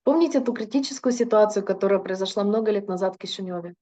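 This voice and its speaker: A woman's voice: medium pitch, fast, mellow. A woman interpreting a lecture consecutively, fluent but with frequent filler hesitations.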